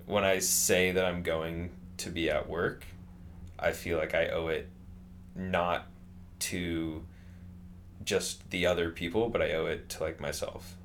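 A man's voice: sits low, little pitch movement, a little slow, a bit bright, slight background noise. A man in his twenties from California, talking casually about his work in a rambling way, with many fillers and restarts. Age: 20s. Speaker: interviewee. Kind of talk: casual conversation.

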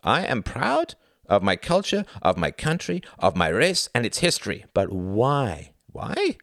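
A man's voice: mid-range voice, huge pitch swings, measured pace, fairly bright tone, no room sound, studio quality, pitched above the performer's own voice, full character voice. A man voicing a proud, scornful young nationalist academic from Germany in an audiobook, with a declamatory, insistent delivery.

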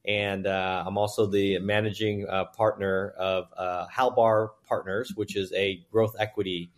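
Relaxed low-voiced man telling stories. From born in China, raised in Houston, Texas. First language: Chinese. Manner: relaxed, halting, with many fillers and restarts. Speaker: guest, private equity managing partner. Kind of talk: interview storytelling.

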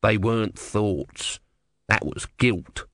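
A man's voice: on the low side, slow, vocal fry, a bit bright, dry recording, heavily acted. A grumpy little tortoise god, acted out by a male audiobook reader, snappish and full of complaint. He sounds sardonic and irreverent, close and dry on the microphone. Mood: defensive.

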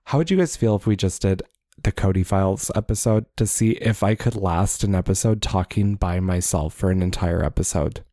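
A man's voice: deep voice, moderate pace, dark tone, no room sound, studio quality. A young adult man co-hosting a podcast in casual chat, joking around and slipping into mocking voices. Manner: chatty, jokey, puts on mocking voices. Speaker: podcast co-host.

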